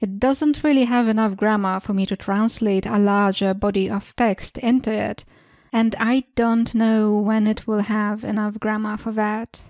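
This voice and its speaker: A young woman with a Polish accent, Polish her native language, calmly reading questions and her answers as a channel host. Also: medium pitch, a little slow, no echo, studio quality. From Eastern Europe. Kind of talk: scripted Q&A narration.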